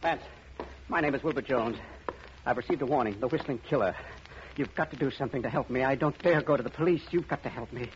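A man's voice: mid-range voice, fast talker, a little hiss or hum, lightly acted. Man acting a frantic, pleading frightened man, muffled old radio. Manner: urgent, pleading, breathless phrasing. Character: frantic, frightened man begging a detective for help. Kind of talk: 1950s radio drama acting.

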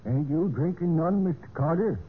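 An actor playing a resentful, folksy caretaker with ungrammatical, grumbling speech in an old radio mystery. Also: male voice, fairly high pitch, normal speed, natural voice. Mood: suspicious, grudging. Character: resentful, folksy male caretaker fond of drink. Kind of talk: 1940s-50s radio character acting. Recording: tinny, faintly noisy vintage radio recording.

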